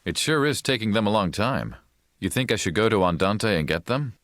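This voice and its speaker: An actor playing a grave, sincere rebel leader in a video game cutscene, speaking in a measured, earnest way. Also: male voice, fairly low pitch, animated, fairly bright tone, dry recording, clean studio sound. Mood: relieved.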